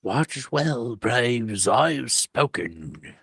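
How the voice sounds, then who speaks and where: A woman's voice: very deep voice, expressive, drawn-out words, gravelly, fairly bright tone, breathy edge, no room sound, heavily acted. A woman playing a cocky boy leader who acts the stern father in a theatrical storybook reading. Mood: commanding.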